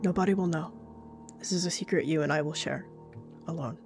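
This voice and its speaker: A woman voicing a secretive she-cat warrior who speaks in a tense, hushed, defensive way. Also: deep voice, brisk, over music.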